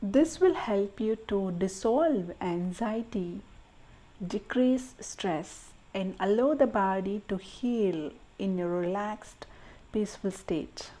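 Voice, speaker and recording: A woman leading a guided meditation in a gentle, soothing manner, speaking in short measured phrases with pauses between them. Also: mid-range voice, low-quality recording.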